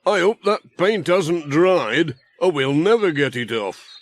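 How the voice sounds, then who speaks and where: Loud, chatty British village handyman, played by a man. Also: fairly high pitch, lively intonation, a little slow. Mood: brisk, jovial. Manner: emphatic, chatty.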